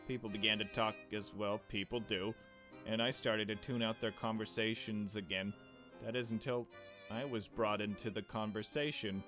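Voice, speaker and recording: A man's voice: on the low side, fairly even intonation, normal speed, sharp tone. Casual male gamer narrating over music. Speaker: gamer reading a visual novel aloud. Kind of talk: casual let's play narration with asides. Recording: music playing behind the voice. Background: game music with strings.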